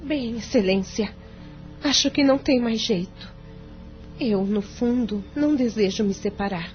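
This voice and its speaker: An actress plays an anguished, remorseful wife in an audio drama. Her voice is high, and she confesses in formal, emotional phrases. The recording is slightly dull, with music behind her. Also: measured pace, close to an everyday voice. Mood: sad, resigned.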